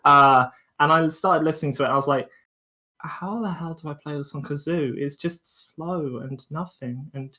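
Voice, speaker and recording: Male co-host, young adult, voice a little high, informal joking chat, wry and self-deprecating. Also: moderate pace, bright, forceful tone. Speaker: livestream co-host.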